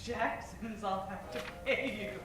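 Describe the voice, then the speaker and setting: A woman's voice: medium pitch, slow pace, lightly acted. A woman acting a sly, sultry femme fatale with a coolly teasing manner in a noir radio parody. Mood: guarded, sly. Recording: echoey, distant live stage recording. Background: faint music.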